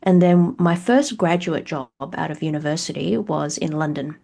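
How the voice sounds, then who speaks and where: A woman's voice: low-pitched, normal speed, creaky, dark tone. A middle-aged woman from Australia, a company CEO answering interview questions frankly, laughing often and using many fillers over a video call. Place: at home.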